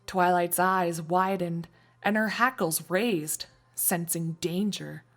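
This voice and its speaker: A woman reading scripted story narration in an expressive, wry storytelling manner. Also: fairly low pitch, takes it slowly, faint music behind. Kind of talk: scripted fan fiction narration.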